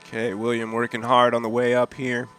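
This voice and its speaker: Relaxed male freediving commentator. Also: mid-range voice, fairly quick, soft background music. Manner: relaxed, admiring, many fillers. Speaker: competition commentator. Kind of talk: live sports commentary. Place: outdoors at a seaside dive event.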